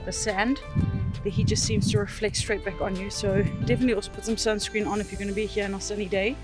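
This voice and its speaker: A woman's voice: medium pitch, little pitch movement, fast talker. A woman from the Netherlands chatting and narrating casually as a hiking vlogger, with an enthusiastic, informal way of talking. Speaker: travel vlogger and hiker. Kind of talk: casual vlog chat and narration. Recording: music behind the voice. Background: music.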